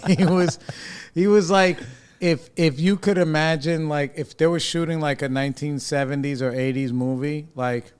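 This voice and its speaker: A man's voice: on the high side, steady tone, moderate pace, darkish tone, clean tone. A man hosting a podcast, chatting casually and laughing easily with his guests. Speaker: podcast host. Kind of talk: casual interview chat.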